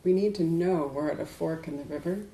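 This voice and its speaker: A woman giving a dharma talk to a group as a Buddhist teacher, speaking in a measured, deliberate way with emphatic pauses. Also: low voice, mellow. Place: indoors in a room. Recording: echoey room.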